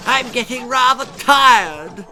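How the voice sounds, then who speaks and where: A man's voice: extremely high pitch, lively intonation, slow, pushed and bright, pitched above the performer's own voice, heavily acted. A man voicing a posh, horsey lady in a children's story, with a plummy, hooting manner. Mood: tired, complaining. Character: posh upper-class English lady, jolly horsey snob.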